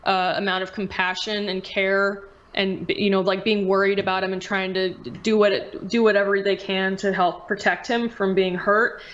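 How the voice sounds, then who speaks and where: A woman's voice: mid-range voice, level tone, moderate pace, few pauses, fairly bright tone, highs cut off. A woman host in a casual podcast chat, speaking candidly and informally and swearing freely.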